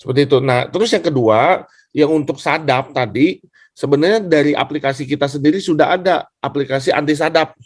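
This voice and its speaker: A man's voice: fairly high pitch, a little fast, husky, no room sound, studio quality. A man speaking as a student in a class discussion, explaining informally and deferentially, circling back over his points. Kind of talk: informal spoken question and explanation.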